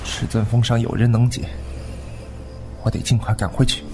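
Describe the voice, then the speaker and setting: Wounded demon-sect warrior, male actor, proud and curt, audio drama with clear music underneath. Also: mid-range voice, level tone, normal speed, close to an everyday voice. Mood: grim, strained. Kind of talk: wuxia audio drama acting.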